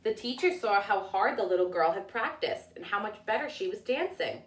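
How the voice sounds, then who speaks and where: A woman narrating a children's storybook as a teacher reading aloud, with deliberate, expressive delivery. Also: mid-range voice, far from the mic. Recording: echoey room, away from the mic.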